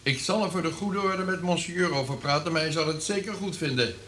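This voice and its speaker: An actor in a Dutch radio play playing a cautious, courteous conspirator with a measured, sceptical manner. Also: male voice, on the high side, background noise, natural voice.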